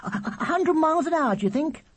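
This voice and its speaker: A man voicing an excitable, eager small boy with a squeaky, piercing voice in a storybook reading. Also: normal speed, husky, exaggerated voice. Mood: eager, excited. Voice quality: shrill, squeaky. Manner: endless eager questions, proud.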